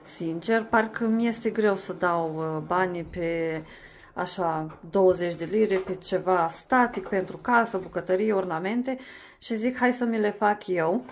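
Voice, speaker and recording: A woman's voice: fairly low pitch, gravelly, slight background noise. A young woman chatting in a casual voice-over about cleaning and organizing her kitchen, rambling with fillers and restarts. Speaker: home vlogger.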